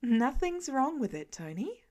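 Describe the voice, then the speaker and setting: A woman voicing a calm, patient grown woman in a fan audiobook reading, speaking evenly with a dry edge. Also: high-pitched, a little slow.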